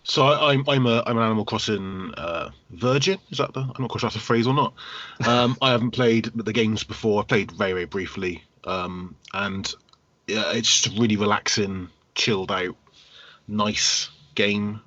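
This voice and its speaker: A man's voice: fairly low pitch, normal speed, fairly bright tone, no echo, muffled recording. A man co-hosting a podcast, chatting casually in a hesitant, joking way. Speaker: podcast co-host. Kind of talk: casual chat.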